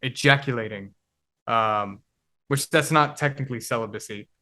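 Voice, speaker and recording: Male guest, 20s, reflective and halting, plain mid-pitched voice. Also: pushed and bright. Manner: reflective, halting, searching for words. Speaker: podcast guest. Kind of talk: casual conversation.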